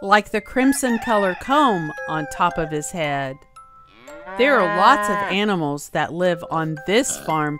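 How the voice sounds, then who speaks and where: A woman reading a rhyming storybook aloud for children in an expressive, sing-song way. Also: mid-range voice. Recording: music playing behind the voice. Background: clear background music.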